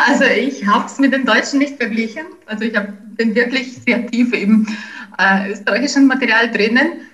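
A woman's voice: a little high, normal speed, a bit bright. Ukrainian-born woman researcher, thoughtful. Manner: thoughtful, many fillers and restarts. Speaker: linguistics researcher. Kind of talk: interview answers.

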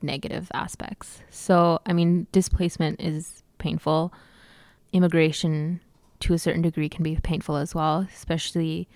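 Young woman filmmaker, low and gravelly, hesitant interviewee. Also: no echo. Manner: soft-spoken, hesitant with many fillers. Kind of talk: casual interview answers.

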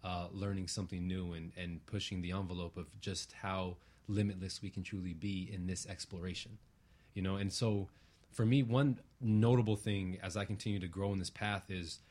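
Low-voiced man talking spiritual ideas. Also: steady tone, moderate pace, darkish tone. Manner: earnest, abstract New Age phrasing, often seeking agreement. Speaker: interview guest. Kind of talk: reflective spiritual discussion.

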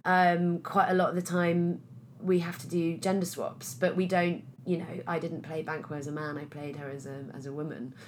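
A woman in her thirties from Wales, an actress chatting casually in an interview, her voice a bit husky and hoarse today, with many fillers and restarts. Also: lowish voice, flat delivery, normal speed, hardly any pauses, slight background noise. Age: 30s.

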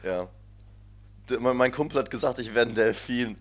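A man's voice: medium pitch, normal speed. A young man chatting casually as a podcast co-host, rambling and laughing often as he tells stories. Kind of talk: casual chat. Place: shared flat abroad.

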